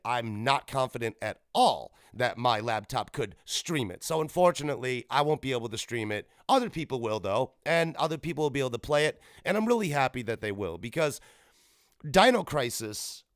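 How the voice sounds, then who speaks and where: A man's voice: medium pitch, lively intonation, moderate pace, a bit bright, dry recording, clean studio sound. A man in his thirties hosting a solo gaming podcast, rambling casually and correcting himself as he goes. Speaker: podcast host. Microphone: podcast microphone.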